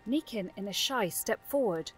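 A woman's voice: mid-range voice, expressive, moderate pace, faint music behind. A woman giving scripted narration for a fantasy audio drama.

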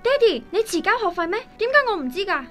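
A sweet young schoolgirl in a radio play, extremely high and bright. She is a devoted daughter with a Hong Kong Cantonese accent. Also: sing-song, quick pace, faint music behind, heavily acted. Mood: worried. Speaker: radio drama actress. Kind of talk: radio drama acting.

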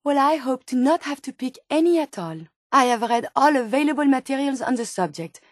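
An earnest, curious robot scientist from a video game, with a distinct French accent. Her voice is high and very dry, close and without room sound. She phrases everything formally and courteously, choosing precise words. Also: expressive, a little fast, dry recording. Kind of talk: video game character dialogue.

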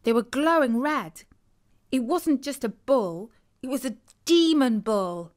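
A British woman voicing a fearful, complaining young boy in a children's story, whining and excitable. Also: high-pitched, slow pace, voice pushed up. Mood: frightened, excited.

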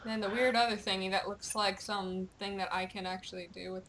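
A young woman gamer with a playful, excitable, teasing manner, chatting over gameplay and reading game dialogue aloud. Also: mid-range voice, fairly quick, noisy background. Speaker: gamer, video host.

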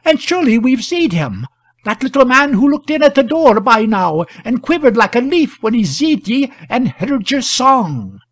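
A man puts on a country shepherd from a storybook, pitched high and lively. He talks in earnest rustic dialect, full of excitement. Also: moderate pace, exaggerated voice. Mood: excited.

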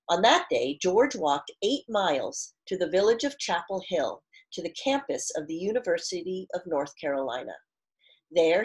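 Husky, slow-paced woman reading aloud. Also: on the low side, a little mellow. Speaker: teacher reading a picture book aloud. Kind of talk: expressive storybook read-aloud with teaching asides. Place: small living room at home, indoors.

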